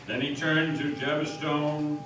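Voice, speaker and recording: A man's voice: a little high, little pitch movement, a little slow, a bit bright, soft background music. A man giving an impassioned, oratorical reading of a scripted dramatic story as narrator. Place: old meeting house, live performance. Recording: very echoey hall, distant mic, faint noise.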